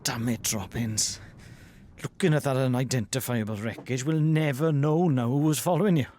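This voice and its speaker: A man acting an exasperated, excitable senior detective in a comic audio drama, urgent and full of exclamation. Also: mid-range voice, normal speed, a little hiss or hum, lightly acted.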